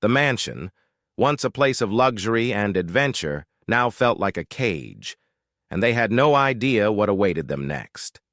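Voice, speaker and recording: A low-voiced male narrator tells a suspenseful mystery with lively, expressive pitch. His delivery is dramatic and scripted, recorded close and dry on a studio mic. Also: measured pace, no echo.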